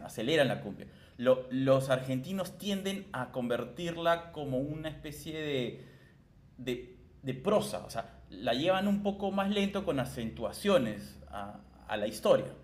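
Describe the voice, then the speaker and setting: A man's voice: medium pitch, slight background noise. A man hosting a casual radio chat about music, teasing and laughing often. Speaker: radio host.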